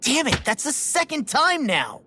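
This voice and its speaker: An actress playing a blunt, feisty young adventurer in a video game, colloquial and indignant. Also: female voice, high-pitched, huge pitch swings, unhurried, pushed and bright, a little hiss or hum, heavily acted. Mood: irritated.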